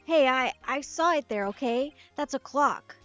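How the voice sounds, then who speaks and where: A blustering, stammering witness caught in his own lie sputters his defence in a high, strained voice, voiced by a woman. The sound is slightly muffled, with game music beneath it. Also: animated, measured pace, a bit bright. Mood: defensive. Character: shifty nervous male witness, blustering liar.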